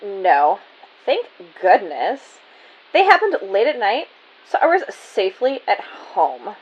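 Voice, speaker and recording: A woman's voice: medium pitch, wildly swooping pitch, takes it slowly, husky, dull audio, exaggerated voice. A woman playing a flippant, easily panicked store worker in an audio drama. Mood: casual, offhand. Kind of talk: amateur audio drama acting.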